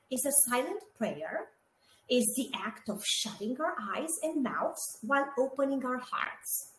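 A middle-aged woman from Romania, co-hosting a podcast in a chatty, casual way, with many fillers and asides to her listeners. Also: on the high side. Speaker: podcast co-host. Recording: echoey room with faint background noise.

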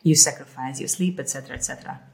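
A woman's voice: a bass voice, rapid-fire, darkish tone. A middle-aged woman from Hungary, co-hosting a casual conversation and often repeating words as she thinks aloud. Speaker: co-host.

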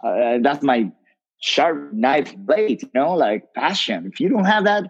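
A man's voice: mid-range voice, very animated, measured pace. Earnest man on a lo-fi video call. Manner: earnest, talks in restarts and "you know, like". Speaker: rum brand ambassador. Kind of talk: panel discussion.

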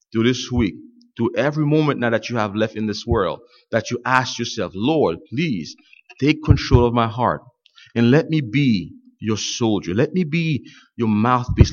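A man preaching a sermon, a native French speaker talking in English and getting his congregation to fill in his words. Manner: swings from loud high exclamations to low quiet asides. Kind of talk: call-and-response sermon. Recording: dull lo-fi sound.

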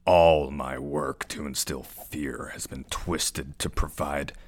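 An actor playing an ancient, grandiose serial killer in an audio play, ominous and hateful, with a gravelly rasp. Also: male voice, steady tone, full character voice. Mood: hissing threat. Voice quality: very deep, raspy, gravelly. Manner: slow, pompous, ominous. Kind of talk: theatrical audio play monologue.

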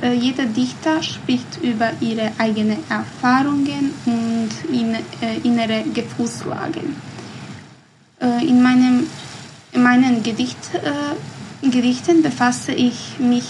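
A woman in her twenties with a Bosnian accent, a guest on a podcast, answering in careful non-native speech with many pauses and fillers. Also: on the high side, fairly even intonation, background noise. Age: 20s. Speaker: guest, poet. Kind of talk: podcast interview.

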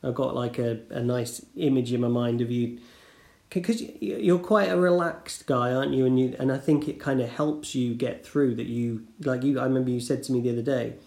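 A man hosting a relaxed podcast conversation over a call, his voice mellow and a little dark. He talks loosely, full of ums and restarts. The sound is roomy and echoey, as if recorded in a living room. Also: mid-range voice, brisk, gravelly. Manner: chatty, many fillers and restarts. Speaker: podcast host. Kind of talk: casual interview chat. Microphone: remote call recording.

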